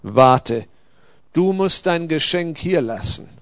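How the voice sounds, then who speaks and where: Man playing a solemn, admonishing angel, slow and high. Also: animated, a bit bright, no echo. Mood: gently firm. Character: Gabriel, stern but gentle angel. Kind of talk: dramatic story reading.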